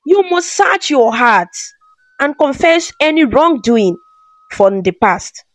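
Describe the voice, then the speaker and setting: A woman's voice: sits high, lively intonation, slow pace, no room sound, low-quality recording. A woman reading as a solemn chief priest. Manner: solemn, grave pronouncements.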